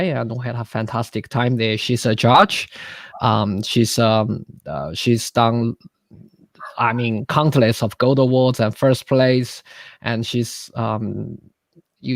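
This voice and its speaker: A man hosts a live online lighting chat over a video call, eager and full of praise but stumbling through fillers and restarts. His mid-pitched voice sounds dry, with no room around it. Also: steady tone, slow, creaky, no room sound. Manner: hesitant, many fillers and restarts, enthusiastic. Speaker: webinar host.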